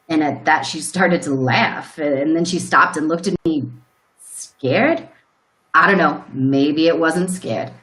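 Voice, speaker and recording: A woman playing a plain rural farmer in a live radio play, telling a grim story in a halting, folksy way. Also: low-pitched, a bit bright, rough recording. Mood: hesitant, earnest.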